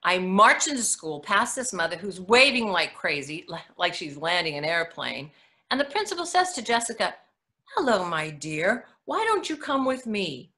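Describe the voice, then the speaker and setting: A woman playing a wry, blunt, protective mother in a staged monologue, delivered dramatically with emphatic pauses. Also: on the low side, sing-song, bright, forceful tone. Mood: indignant.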